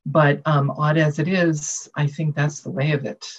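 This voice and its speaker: An older American woman with a husky voice, answering interview questions with reflective storytelling. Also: low-pitched, flat delivery, normal speed. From Massachusetts, United States. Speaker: guest author. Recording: dull, muffled lo-fi audio.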